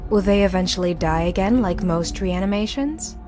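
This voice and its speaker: A woman's voice: fairly low pitch, normal speed, music in the background, close to an everyday voice. A woman playing a studious, serious young necromancer in a visual novel, speaking in a matter-of-fact, explanatory way. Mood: explaining. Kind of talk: scripted visual novel acting.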